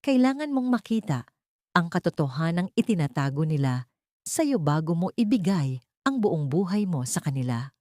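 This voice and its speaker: A woman's voice: fairly low pitch, sing-song, moderate pace, warm tone, no room sound, clean studio sound. A woman narrator voicing an alarmed, loyal female best friend in an audio story, urgent and pleading.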